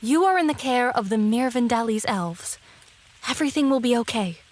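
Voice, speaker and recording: An actress playing a gentle, selfless elf in a fantasy audio drama, speaking in a soothing, reassuring manner. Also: female voice, a little high, expressive, moderate pace, close to an everyday voice.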